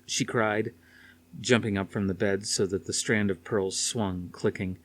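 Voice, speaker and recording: A man's voice: fairly low pitch, fairly even intonation, a little slow, no echo. A man reading a dramatic story aloud as scripted audiobook narration. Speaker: audiobook reader.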